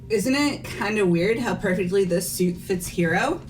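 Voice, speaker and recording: A woman's voice: medium pitch, expressive, moderate pace, a little mellow, natural voice, rough recording. A woman voicing a blunt, accusing, headstrong teenage girl in a fan-voiced visual novel. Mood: suspicious. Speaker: voice actor in fan game playthrough. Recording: music under the voice.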